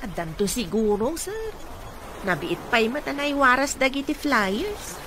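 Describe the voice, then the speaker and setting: A woman's voice: sits high, sing-song, unhurried. A woman playing a deferential, friendly, gossipy housekeeper in a radio drama. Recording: clearly noisy background.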